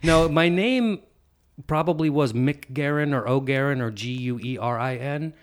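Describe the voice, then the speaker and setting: A man hosting a podcast, interviewing a guest in relaxed casual chat with many fillers and restarts. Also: on the high side, moderate pace. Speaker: podcast host.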